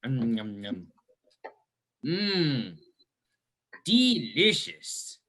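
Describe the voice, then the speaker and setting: A man playing a doddery old grandpa in a mock old-time radio advert, folksy and drawn-out. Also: medium pitch, very animated, sharp tone, full character voice. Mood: puzzled, savouring. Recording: very noisy recording with clicks.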